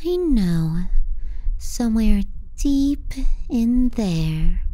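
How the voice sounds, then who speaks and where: A woman's voice: fairly high pitch, big pitch swings, very slow, mellow, exaggerated voice, rough recording. A woman playing a possessive, scornful younger sister in an intimate ASMR roleplay, murmuring breathily and languidly. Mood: needy, possessive. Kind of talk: intimate ASMR roleplay, slow and partly whispered.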